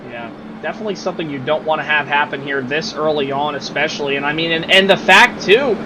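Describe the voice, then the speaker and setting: A man's voice: on the high side, fast, lo-fi sound. A man giving casual color commentary on an online race, explaining strategy with lots of fillers. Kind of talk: sim racing broadcast commentary. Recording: noisy track sound behind.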